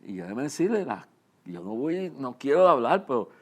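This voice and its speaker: An older man with a Puerto Rican accent giving an informal religious address and personal testimony, earnest and halting, repeating phrases as he speaks straight to listeners. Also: medium pitch, sing-song. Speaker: preacher and ministry leader.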